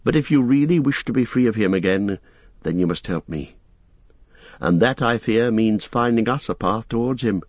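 A man voicing a calm, stern traveller who speaks with quiet, measured authority in an audiobook. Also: fairly low pitch, dry recording. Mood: calm command.

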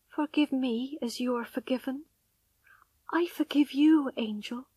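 A woman's voice: high voice, moderate pace, a bit bright, no room sound, voice pushed up. A woman voicing a distraught, devoted young wife in a dramatic story, pleading and tearful. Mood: hushed pleading. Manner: pleading, breathy whispers rising to anguished cries.

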